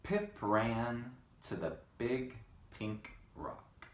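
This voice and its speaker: Man reading a picture book aloud slowly. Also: mid-range voice, sing-song, echoey room. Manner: gentle, chatty asides to young listeners. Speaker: read-aloud host.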